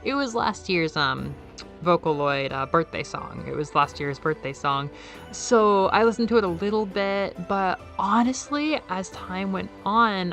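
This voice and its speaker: A young woman with a soft, airy, slightly nasal voice sitting in a fairly low register, chatting casually as if to her viewers. She rambles and repeats words, then jumps way up in pitch when she gets excited. Faint music plays underneath. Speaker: YouTuber. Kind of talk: casual video essay.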